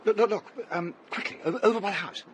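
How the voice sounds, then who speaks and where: An actor playing an anxious young English gentleman in a radio drama, earnest, well-spoken and a little hesitant. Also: high-pitched, quick pace, highs cut off, a little hiss or hum, close to an everyday voice. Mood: startled, uneasy. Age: young adult.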